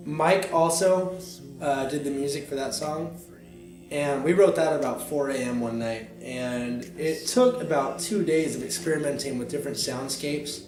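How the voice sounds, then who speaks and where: A young man and recording artist talking casually about his album in a studio, relaxed and reflective, with lots of fillers. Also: on the high side. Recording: echoey studio, mic a bit distant.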